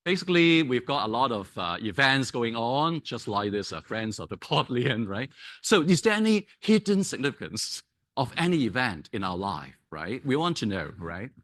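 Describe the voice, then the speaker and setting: A man whose first language is Cantonese gives a public lecture, pausing on many fillers and restarts and checking in with "right?". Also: mid-range voice, big pitch swings, fairly bright tone. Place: lecture hall.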